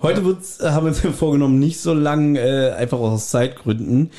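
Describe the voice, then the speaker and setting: A man's voice: a little high, normal speed, dark tone. A man hosting a podcast in casual chat, rambling along with self-deprecating remarks. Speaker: podcast host.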